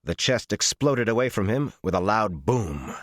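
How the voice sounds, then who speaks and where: A man reading a dramatic fantasy audiobook as its narrator, with a measured, intense and expressive delivery. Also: on the low side, no echo, clean studio sound.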